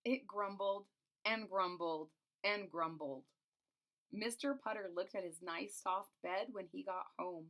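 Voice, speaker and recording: A woman's voice: mid-range voice, measured pace. Woman reading a children's storybook aloud. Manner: expressive, explains the pictures in simple words. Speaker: teacher reading a picture book aloud.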